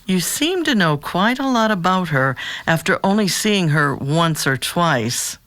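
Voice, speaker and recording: An actress playing a calm, probing therapist with quiet humor, measured and professional, in an audio drama. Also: female voice, on the low side, no echo. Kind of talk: naturalistic audio drama dialogue.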